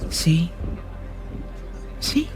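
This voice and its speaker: An actor playing a bold, commanding rebel lord, speaking measured, declamatory verse in a Shakespearean radio drama. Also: male voice, faint music behind. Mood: solemn resolve. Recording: faint background noise and ambience.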